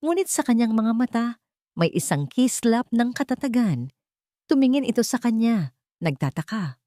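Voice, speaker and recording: A woman with a Filipino accent reading scripted, dramatic love-story narration in a measured storytelling manner. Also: fairly high pitch, big pitch swings, dark tone, no echo, clean studio sound.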